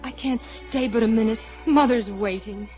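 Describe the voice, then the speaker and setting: Woman playing high-voiced, dreamy young leading lady. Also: natural voice. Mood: tender, anxious. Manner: measured, wistful. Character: romantic, dreamy young showboat leading lady narrating her memories. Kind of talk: 1950s radio musical drama narration and acting. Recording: muffled old radio broadcast with music.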